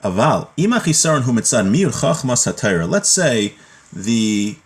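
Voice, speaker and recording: A man's voice: mid-range voice, big pitch swings, normal speed. A man with a mid-range voice gives an informal religious lesson. He talks in an explanatory, conversational way, as a teacher does when walking students through a legal text. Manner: explanatory, conversational, often checking with "right?". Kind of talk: informal lecture, reading Hebrew text aloud and explaining it.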